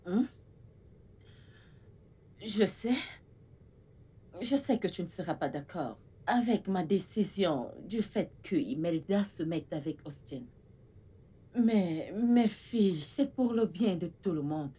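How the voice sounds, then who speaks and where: An actress playing a stern, domineering middle-aged mother in a French drama, insistent and husky-voiced. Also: a little high, sing-song, measured pace, pauses often, heavily acted. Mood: soft, earnest. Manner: scolding, insistent, repeats demands. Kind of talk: dramatic film dialogue in French.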